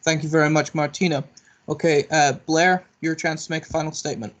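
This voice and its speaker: Politely halting male moderator, higher voice. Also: flat delivery. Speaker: debate moderator. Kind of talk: hosting a candidate Q&A.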